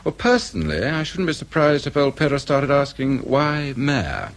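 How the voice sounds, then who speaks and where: An actor playing a worldly, cynical civil service schemer in a radio drama, with a British accent and an insinuating, offhand manner. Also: male voice, medium pitch, sing-song, moderate pace. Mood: confiding.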